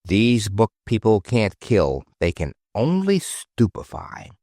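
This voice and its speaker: A man's voice: on the low side, wildly swooping pitch, takes it slowly, mellow, no room sound, clean studio sound, exaggerated voice. A man reading aloud, voicing a young princess who speaks with sighing, confiding warnings. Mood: wary confiding. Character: young princess, weary and knowing.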